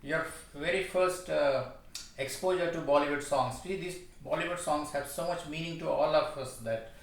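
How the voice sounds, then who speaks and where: A man hosting an interview, speaking haltingly with many "uh" pauses. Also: on the high side, vocal fry. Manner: halting, many "uh" fillers and restarts. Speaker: host. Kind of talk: interview host chat. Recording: echoey room, distant mic, faint hiss.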